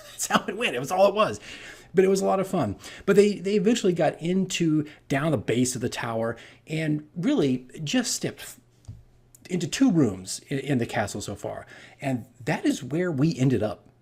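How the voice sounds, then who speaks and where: A man telling a casual, animated story as a game master on a vlog, rambling and repeating his phrases. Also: on the high side, normal speed, breathy edge. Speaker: tabletop game master recounting his campaign.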